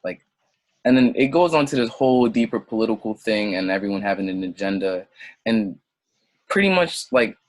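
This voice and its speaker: A man's voice: fairly low pitch, lively intonation, normal speed, dry recording. A young man raised in Senegal, a native French speaker, rambling and doubting himself as an interview guest, then drifting into impassioned digressions. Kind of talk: casual interview conversation.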